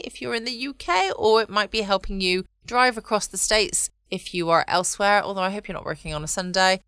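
A woman hosts a podcast in a bright, crisp voice. She chats casually and in a friendly way, recorded close and dry. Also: mid-range voice, no echo. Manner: chatty, friendly. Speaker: podcast host. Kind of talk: casual scripted-style podcast outro.

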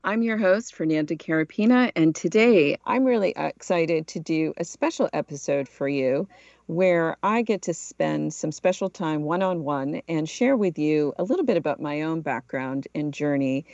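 A middle-aged woman from Portugal hosting a solo podcast, telling her story in a measured, reflective way. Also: deep voice, darkish tone, no echo, highs cut off. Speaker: podcast host.

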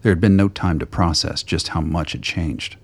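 A man reading scripted narration for a radio play in a deep, gravelly voice. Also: moderate pace.

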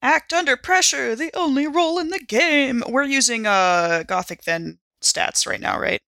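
A woman's voice: high voice, measured pace, bright, forceful tone, no echo, studio quality. A woman playing a tabletop game, chatting casually with a sarcastic, joking streak. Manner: sarcastic, giggly. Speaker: player.

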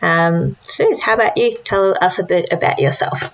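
A woman's voice: on the low side, normal speed, low-quality recording. A young woman co-hosting a podcast, chatting casually in a friendly, upbeat way with frequent ums. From Australia. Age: young adult. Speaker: podcast co-host. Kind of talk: casual chat.

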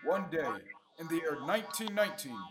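An amateur male actor playing an entranced man whose thoughts are spoken through a machine in an old-time radio serial, slow and subdued. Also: a little high, expressive, music in the background, lightly acted. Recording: eerie synthesizer effect behind the voice.

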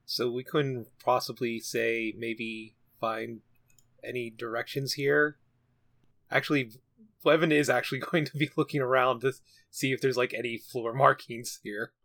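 A man's voice: mid-range voice, steady tone, unhurried, a bit bright, no echo. A man improvising casually as a tabletop game player, tentative and thinking aloud. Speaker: guest player.

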